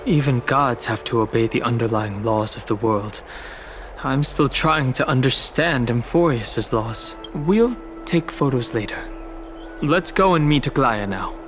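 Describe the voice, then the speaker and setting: An actor voicing a reserved, analytical young traveller in a video game, measured and matter-of-fact. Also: male voice, mid-range voice, animated, over music, close to an everyday voice. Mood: skeptical, thoughtful.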